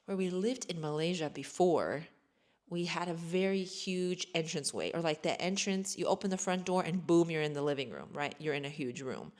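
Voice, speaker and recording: A woman's voice: sits low, animated, moderate pace, darkish tone. An American woman in her thirties chatting casually to camera from her sparsely furnished home, rambling and self-deprecating. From United States. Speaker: vlogger.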